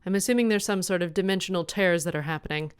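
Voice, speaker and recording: A woman's voice: on the low side, fast, clean tone, no room sound, studio quality, lightly acted. A woman voicing a small, self-assured female lapdog who talks in a blunt, matter-of-fact way.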